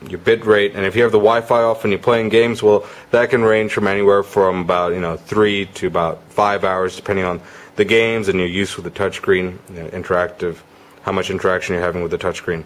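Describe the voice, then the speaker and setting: A man's voice: deep voice, flat delivery, fairly quick, slight background noise. A man giving a casual, conversational product demo as a gadget reviewer.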